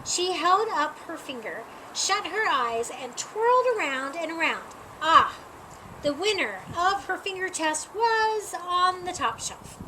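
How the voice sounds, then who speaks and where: A woman with a high, very lively voice reads a picture book to children. She stresses and stretches key words in an expressive storytime delivery. There is noticeable background noise in the recording. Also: low-quality recording. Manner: animated, emphatic, drawn-out key words. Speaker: storytime reader.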